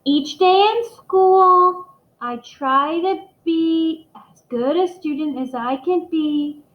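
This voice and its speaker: A woman reading the part of an earnest, sentimental first-grade boy in a picture book, with deliberate delivery. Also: extremely high pitch, voice pushed up. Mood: earnest, reciting. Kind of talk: storybook character reading. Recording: echoey room, dull muffled microphone.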